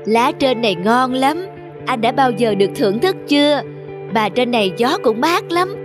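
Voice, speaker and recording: A man's voice: very high voice, animated, normal speed, over music. A man voicing a tall, boastful, teasing cartoon giraffe. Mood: smug. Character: smug, condescending tall male giraffe. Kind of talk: cartoon voice acting.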